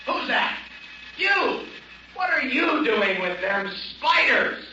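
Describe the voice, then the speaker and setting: An actor playing a hostile, contemptuous armed guard in an old-time radio drama, barking threats in rough colloquial speech. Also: male voice, very high-pitched, sing-song, takes it slowly, pushed and bright, slight background noise, heavily acted. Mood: threatening. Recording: distant, echoing, dull old radio recording.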